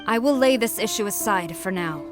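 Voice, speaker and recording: A stern female general in a game, acted by a woman. She speaks formally and with clipped authority at a middle pitch, over faint music. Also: lively intonation, brisk, natural voice. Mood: formal, composed. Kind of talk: video game voice acting.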